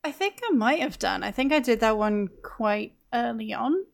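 A woman's voice: fairly high pitch, expressive, normal speed, gravelly. A woman chatting casually as a guest on a gaming podcast, with a wry manner. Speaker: guest on a video game podcast. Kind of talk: casual chat.

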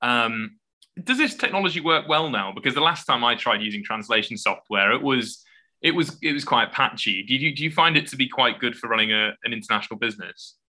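A man's voice: mid-range voice, expressive, fairly quick, bright, forceful tone. A man hosting a podcast, asking questions and wrapping up with his guests in a friendly way. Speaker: podcast host. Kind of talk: podcast interview.

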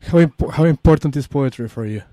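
Mexican-accented male host, mellow voice. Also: on the high side, no echo. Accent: Mexican Spanish. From Mexico City. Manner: halting, many uhs, repeats "excellent". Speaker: radio show host. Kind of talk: interview.

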